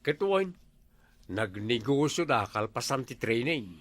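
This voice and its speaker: A man's voice: medium pitch, sing-song, unhurried, no echo. A man with an Ilocano accent playing a teasing, nagging village husband in a comedy drama.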